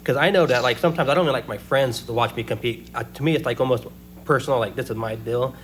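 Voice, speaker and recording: A man hosts a casual podcast interview, his pitch in the middle range. He asks questions with eager admiration. A faint hum runs underneath. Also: fast talker. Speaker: podcast host.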